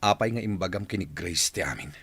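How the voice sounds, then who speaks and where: A man with an Ilocano accent playing an angry, cold married man with a husky voice in a radio drama. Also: low voice, expressive, fast, fairly bright tone, full character voice. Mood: irritated.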